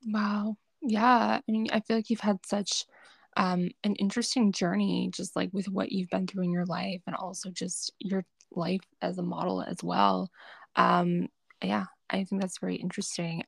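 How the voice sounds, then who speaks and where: Gushing young woman podcast host, gravelly. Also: on the low side, little pitch movement, moderate pace, no room sound. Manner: gushing, enthusiastic, filler-heavy. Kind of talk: casual interview chat.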